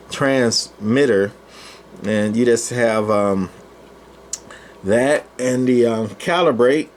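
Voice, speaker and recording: Casting: older male, mid-pitched, folksy halting rambler doing an informal gear demo, noisy indoor recording. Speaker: presenter, CB radio collector. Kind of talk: casual unscripted equipment demo.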